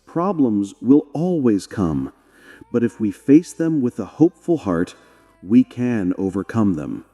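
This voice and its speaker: Man voicing a gentle, slow-talking wise old woman. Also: on the low side, sing-song, in a large hall, faint music behind. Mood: gentle, reassuring. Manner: gentle, unhurried, reassuring. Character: wise, calm, kindly old village woman.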